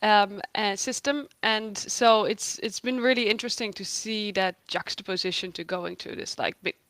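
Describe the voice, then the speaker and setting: A woman's voice: medium pitch, fairly bright tone, dry recording. A woman from the Netherlands explaining her work as an economist in a conversational interview, thoughtful and emphatic. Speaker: guest, economist and researcher.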